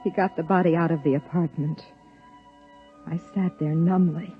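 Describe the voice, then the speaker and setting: A woman's voice: low-pitched, steady tone, moderate pace, soft background music, natural voice. An actress playing a troubled, analytical woman scientist in a 1950s radio drama. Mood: numb, reflective. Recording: dull, lo-fi 1950s radio recording.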